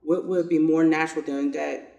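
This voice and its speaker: A man playing a self-interested, lordly old general in a reader's theater piece, pompous and deliberate. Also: on the high side, level tone, creaky, darkish tone, highs cut off. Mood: coolly scheming. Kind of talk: reader's theater stage reading. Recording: echoey room, distant mic.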